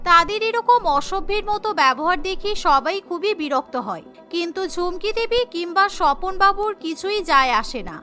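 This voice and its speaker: A woman's voice: extremely high pitch, animated, moderate pace, few pauses, sharp tone, faint music behind. A woman narrating a cartoon story in a scripted storytelling manner. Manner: storytelling, voices every character.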